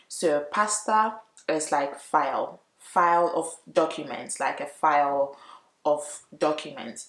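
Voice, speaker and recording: A woman who speaks English natively, chatting casually to viewers as she explains language points, expressive and emphatic, repeating words for emphasis. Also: deep voice. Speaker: YouTube language vlogger. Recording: roomy, echoey room.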